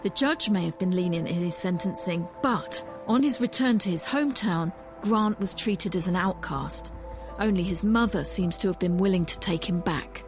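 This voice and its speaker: A woman presents a documentary in a measured, questioning and explanatory way. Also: fairly low pitch, music in the background. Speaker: presenter, history professor. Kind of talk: documentary narration and interview.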